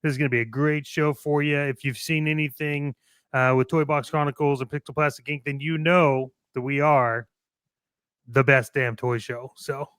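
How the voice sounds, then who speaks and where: Clean, high-voiced enthusiastic male radio co-host. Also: fairly even intonation, normal speed, fairly bright tone, clean tone, dry recording. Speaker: co-host of a toy collecting show. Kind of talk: casual radio show chat and playful ad reads.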